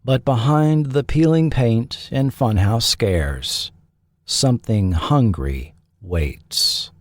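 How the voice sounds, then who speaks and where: Slow-paced male narrator in a dry studio. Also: mid-range voice, a little mellow, no echo. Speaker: podcast host and narrator. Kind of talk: scripted documentary narration.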